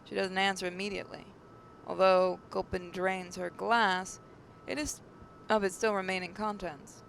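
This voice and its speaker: A woman's voice: mid-range voice, level tone, moderate pace, vocal fry, a bit bright, a little hiss or hum, rough recording. A woman reading a story aloud as dramatic narration, occasionally stumbling over the words. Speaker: gaming channel narrator reading a visual novel aloud.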